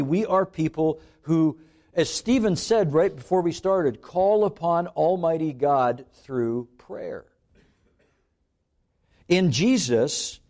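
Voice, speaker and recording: A middle-aged man born in Scotland and raised in Canada, preaching a sermon earnestly, slowing down on key phrases for emphasis. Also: on the high side, a little mellow.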